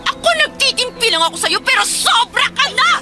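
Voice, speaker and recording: An actress playing a proud, hot-tempered, combative mistress in a Filipino radio drama. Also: female voice, very high-pitched, big pitch swings, brisk, sharp tone, full character voice. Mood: enraged. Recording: music behind.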